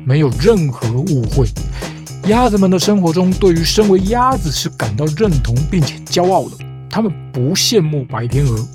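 A woman hosting a comic storytelling show, lecturing in a scripted, argumentative way and ending her points with rhetorical questions. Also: deep voice. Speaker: storyteller host. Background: background music.

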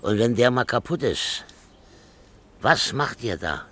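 An actor playing a stubborn, lonely old man in a German radio play, halting, repetitive and pleading. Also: medium pitch, lively intonation, a bit bright. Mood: insistent. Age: older.

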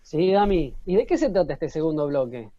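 Male co-host, Argentine accent, casual banter, dark tone, muffled and roomy video-call sound. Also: on the high side, sing-song, a little fast.

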